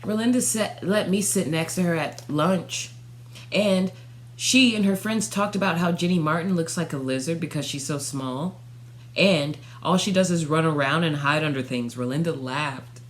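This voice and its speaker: A young woman reading a children's storybook aloud, narrating expressively in the first person with dramatic pauses. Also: sits low. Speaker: storybook reader. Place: small furnished room at home.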